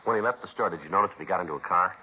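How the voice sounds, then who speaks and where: Actor as courteous, deadpan policeman, bottom-register voice, clipped businesslike lines, dull hissy vintage radio drama sound. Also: deep voice, rapid-fire, lightly acted. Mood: dry, patient. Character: dry, polite, businesslike male police detective. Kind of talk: 1950s radio police procedural acting. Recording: muffled, hissy 1950s radio recording.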